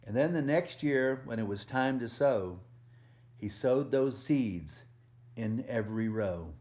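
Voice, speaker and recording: A man reading a picture book aloud to young children as a volunteer storyteller, gently explaining things and asking the listener questions in simple words. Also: medium pitch, animated, slow.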